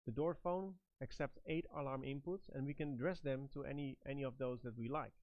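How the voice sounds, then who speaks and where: A middle-aged man giving step-by-step product instructions in a halting, phrase-by-phrase manner. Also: medium pitch, fairly even intonation, no room sound, muffled recording. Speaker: product trainer and presenter.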